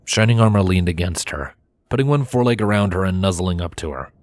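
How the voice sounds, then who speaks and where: A man's voice: low voice, lively intonation, fast, no echo. A man reading a story aloud as a scripted narration. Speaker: fan fiction reader and narrator.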